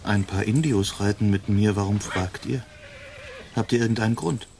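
Courtly, languid gentleman, lowish voice. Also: normal speed, vocal fry, slight background noise. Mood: polite. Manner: formal, mannered, polite. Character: languid, courteous, faint-hearted young aristocratic gentleman. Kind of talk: German radio drama acting.

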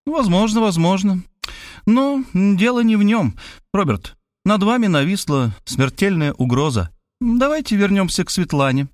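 Audiobook figure: confident lady detective-writer, condescending and lecturing, voiced by a male reader, high and animated. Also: normal speed, dry recording, clean studio sound. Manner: patronizing, matter-of-fact lecturing. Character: self-assured woman writer turned sleuth, lecturing.

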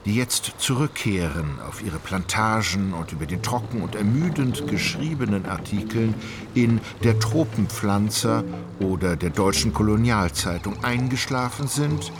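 A man narrating a literary radio play in a measured, descriptive way. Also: lowish voice, expressive, music in the background. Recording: clearly audible background ambience.

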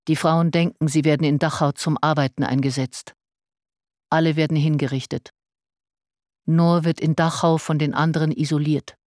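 A woman's voice: deep voice, darkish tone, no room sound. Narrator for radio drama: a grave, restrained woman reporting facts, close and dry studio sound. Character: sober narrator reporting grim historical facts. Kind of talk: scripted documentary-style radio drama narration.

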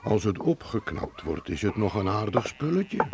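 An actor playing a calm, inquisitive middle-aged country neighbour man in a radio play. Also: lowish voice, moderate pace. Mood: measured. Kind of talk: Dutch radio play acting. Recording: noisy old radio recording, farm animal effects.

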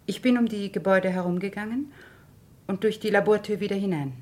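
A woman acting a composed, guarded doctor under questioning in a German radio crime drama, speaking in a measured, precise way. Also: mid-range voice, close to an everyday voice.

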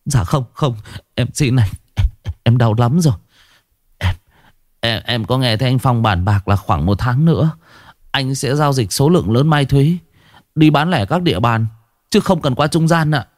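A man's voice: medium pitch, expressive, moderate pace, pauses often, no echo, clean studio sound, pitched above the performer's own voice, lightly acted. A man doing a stammering, cowering thug. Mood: cowering pain. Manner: stammering, groveling. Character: handcuffed male thug in pain, cowering.

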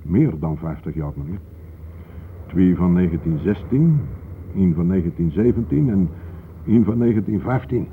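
An actor playing an older, guarded, matter-of-fact local man with a husky voice, formally polite, in a Dutch radio play. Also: low-pitched, expressive, normal speed, phone-quality sound, slight background noise.

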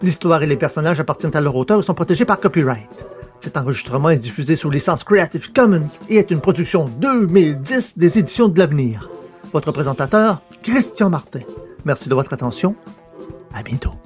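A man's voice: fairly high pitch, expressive, fairly quick, soft background music. A man reading scripted credits and announcements as the presenter of an audio production.

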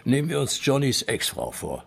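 An actor playing a blunt, sarcastic police detective questioning suspects in a radio crime drama. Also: male voice, mid-range voice, wildly swooping pitch, moderate pace, creaky, fairly bright tone, husky, full character voice. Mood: pressing. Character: tough, sardonic male police detective.

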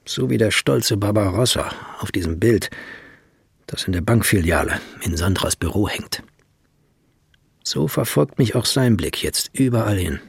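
An actor playing a brooding writer who narrates his own dark story in an audio drama, measured and somber. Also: on the low side, expressive, gravelly, slightly rough, no echo, clean studio sound, exaggerated voice. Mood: tense. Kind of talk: literary first-person audio drama narration.

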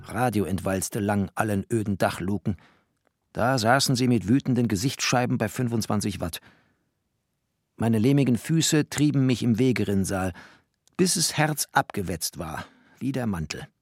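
Low-pitched man narrating literature expressively. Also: lively intonation, moderate pace, husky, no echo, clean studio sound.

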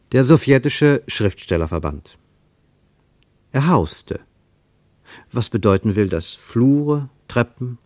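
A man narrating a radio drama in a measured, expressive literary storytelling manner. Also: on the high side, no room sound, clean studio sound.

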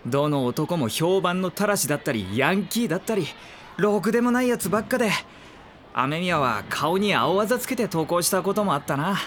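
A high, bouncy voice from a blunt teenage classmate in an audio drama, telling gossip flatly and frankly. A faint hiss lies under the lines. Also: male voice, brisk. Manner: blunt, matter-of-fact gossip.

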